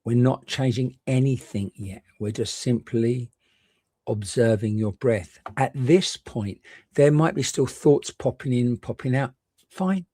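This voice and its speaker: A man gently and reassuringly guiding a meditation, leaving unhurried pauses between short phrases. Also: mid-range voice, slightly rough, no room sound. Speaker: meditation guide. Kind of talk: guided meditation.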